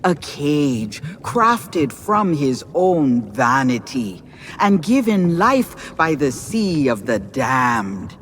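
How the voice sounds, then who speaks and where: An actress playing a calm, knowing mystical seer in a video game, speaking in a measured, portentous and formal way. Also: female voice, low-pitched, slight background noise, heavily acted. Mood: grave warning. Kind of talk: video game character acting.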